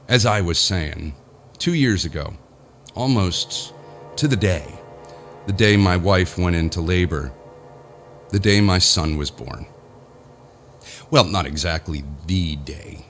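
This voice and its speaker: Middle-aged business boss, deep voice, haunted and halting, folksy rambler, audio horror drama. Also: male voice, a bit bright, slight background noise. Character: spooked, rambling male business boss recounting his ordeal.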